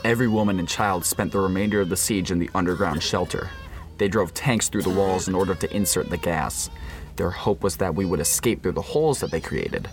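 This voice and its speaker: An actor playing a somber, haunted survivor, narrating a scripted radio drama. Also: male voice, sits low, lively intonation, brisk, faint music behind. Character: somber, haunted male survivor recounting the siege.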